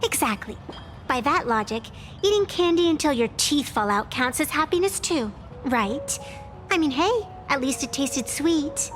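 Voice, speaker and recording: An actress playing a cheeky, sharp-tongued young woman in a video game, teasing and sarcastic. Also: sits high, expressive. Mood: wry. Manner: teasing, sarcastic, quick to bite back. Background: background music.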